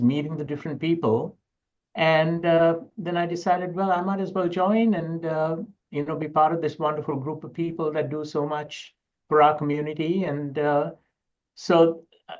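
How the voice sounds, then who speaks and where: A man's voice: on the high side, muffled recording. A middle-aged man from Sri Lanka, answering conversationally as an interview guest. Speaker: interview guest, university educator and researcher.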